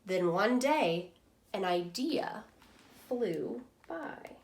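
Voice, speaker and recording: A woman voicing an earnest, timid but persevering storybook egg, telling his own story expressively. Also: medium pitch. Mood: hopeful. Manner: slow, expressive first-person storybook telling.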